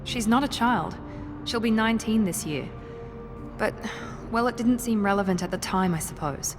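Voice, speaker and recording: A woman's voice: a little high, normal speed, lightly acted. Woman acting as cool, commanding operative, reverberant. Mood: guarded, confiding. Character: self-assured, guarded female operative, protective older sister. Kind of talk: video game voice acting. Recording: long reverb, music behind.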